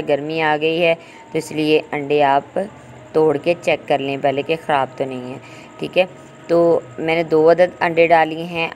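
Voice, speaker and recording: A woman giving an informal, instructional recipe voice-over as a cooking host. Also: low-pitched. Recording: home recording with clear background noise.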